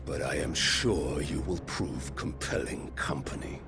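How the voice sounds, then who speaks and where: A man playing a menacing, mocking warlord villain in a video game, theatrical and gravelly, with deliberate, taunting delivery. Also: extremely low pitch, over music, exaggerated voice. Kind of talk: dramatic video game acting.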